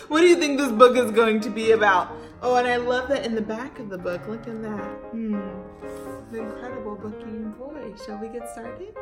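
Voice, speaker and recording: A woman hosting a story time for young children, reading aloud with dramatic emphasis, drawn-out words and questions to her listeners. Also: fairly high pitch, roomy sound, loud music behind the voice.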